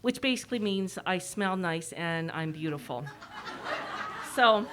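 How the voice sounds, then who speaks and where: A woman's voice: on the low side, expressive, measured pace, background noise. A middle-aged woman with a Canadian accent giving an informal, self-deprecating public presentation, speaking through a mask. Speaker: executive director giving a presentation. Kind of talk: public presentation, partly read from notes.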